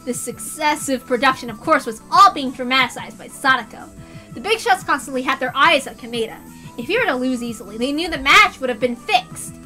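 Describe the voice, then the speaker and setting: A woman reading a story aloud in a lively, dramatic, giggly and excitable way. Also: sits high, brisk. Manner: giggly, excitable, breaks character laughing. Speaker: reader doing a let's-read of a visual novel. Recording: music behind the voice. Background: music.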